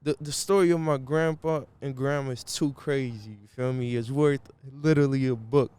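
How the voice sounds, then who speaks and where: Guy chatting easy, smooth clean voice, slangy, lots of "you feel me". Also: mid-range voice, steady tone, moderate pace, a little mellow. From Brazil. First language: Portuguese. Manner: laid-back slangy talk, keeps saying "you feel me". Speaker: guest musician. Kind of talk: casual interview chat.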